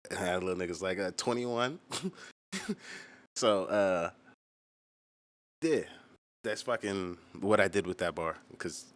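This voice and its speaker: A man's voice: sits low, animated, takes it slowly, long pauses. A man giving casual, profanity-laced commentary on his own music, telling stories and acting out quoted exchanges as a musician hosting his channel.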